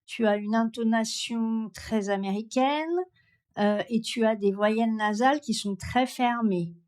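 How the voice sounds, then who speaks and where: A woman with a fairly high-pitched voice speaks as a pronunciation coach giving a spoken demonstration. She is direct and critical, putting pointed questions. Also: unhurried, darkish tone. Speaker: pronunciation coach testing a voice assistant.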